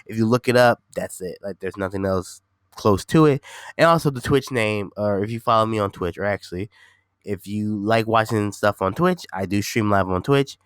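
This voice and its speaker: A man's voice: fairly low pitch, normal speed, smooth, no room sound. A man hosting his own podcast, sounding nasal and congested from a cold, rambling casually with repeats and fillers. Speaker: podcast host. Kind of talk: casual solo podcast talk.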